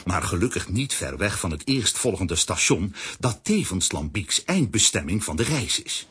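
Male narrator, low pitch, lively storybook delivery, clearly noisy recording. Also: moderate pace. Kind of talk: scripted storybook narration for children's radio play. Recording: noisy old audio-play recording.

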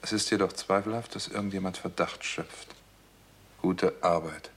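A man's voice: sits low, steady tone, normal speed, gravelly, slightly rough, full character voice. An actor playing a doctor turned sleuth in a 1960s radio crime drama, telling his case in a terse, matter-of-fact way. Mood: absorbed, clinical.

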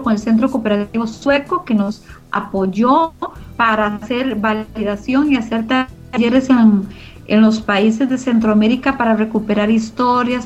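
A woman explaining her radio production work in an interview, searching for her words as she goes. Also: a little high. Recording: muffled sound with music underneath. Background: music.

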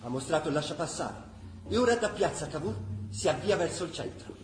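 A male storyteller played by an actor narrates a wartime radio drama in a fairly high voice. The delivery is scripted theatre narration. The room is echoey, with faint noise. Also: lively intonation, a little fast, natural voice. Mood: narrating. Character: storyteller chronicling wartime Florence.

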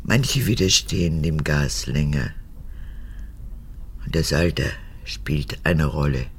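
An old woman in a radio drama, a former camp guard with no remorse. Her voice is husky and cracked, sliding between whispers and high childlike pleading, with wide swings in pitch. She is capricious and manipulative, with music underneath. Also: expressive, slow pace, heavily acted. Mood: cold. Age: 80s. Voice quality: husky, gravelly, often breaking into whispers.

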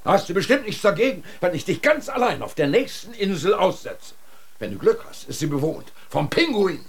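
An actor playing a stern, sarcastic polar expedition commander in a radio drama, with a rough, commanding voice. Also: male voice, high-pitched, big pitch swings, brisk, heavily acted. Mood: angry, sarcastic.

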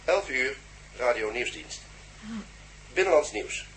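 A medium-pitched, formal male newsreader delivers a scripted bulletin from a radio set, with a faint hum. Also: lively intonation, takes it slowly, a bit bright, low-quality recording.

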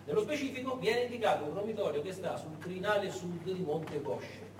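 A man narrating a story on stage in a dramatic, theatrical way, with deliberate pauses. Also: high-pitched. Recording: echoey room, far mic, faint noise.

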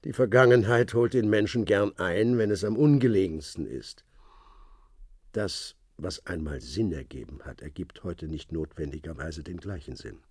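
An actor playing a cryptic old retired secret-service man who talks in measured hints. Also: deep voice, animated, darkish tone, breathy edge, dry recording, clean studio sound, full character voice. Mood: calm. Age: older. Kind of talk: radio play acting.

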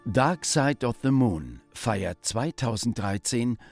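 A man's voice: medium pitch, animated, slow, faint music behind. A man reading scripted documentary narration in a factual style.

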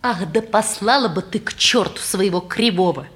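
A woman's voice: medium pitch, moderate pace, fairly bright tone, lightly acted. An actress playing a bitter, impatient, defiant woman with a curt, exasperated manner in a 1950s Russian stage play.

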